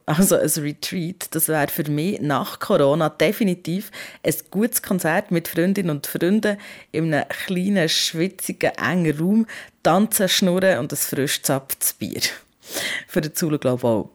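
A woman's voice: sits low, normal speed. A woman with a Swiss German accent hosting a podcast about parenting in a candid, colloquial, conversational way.

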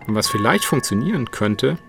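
A man talking informally and reflectively, halting and letting thoughts trail as he goes. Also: mid-range voice, very animated, creaky. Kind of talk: informal reflective interview talk. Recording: prominent plucked guitar music bed. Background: plucked guitar music.

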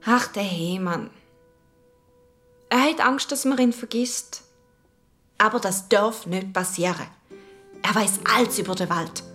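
Dreamy schoolkid w swiss german accent narrating radio play, slow n thoughtful, music behind. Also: female voice, mid-range voice, fairly bright tone. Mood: thoughtful, tender. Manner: musing, childlike, thinking aloud. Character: earnest, imaginative schoolchild narrating their forest adventure. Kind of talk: first-person radio play narration for children.

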